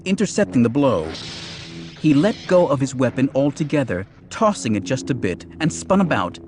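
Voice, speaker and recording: A man narrates an audiobook in a dramatic, scripted storytelling style. His mid-pitched voice is very lively in its inflection, and music plays behind it.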